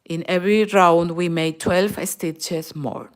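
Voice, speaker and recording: Peruvian woman, native Spanish, for a knitting tutorial; very slow, patient delivery, counts stitches out loud. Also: fairly low pitch, fairly even intonation. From Peru. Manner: mixes in Spanish words. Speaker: knitting teacher.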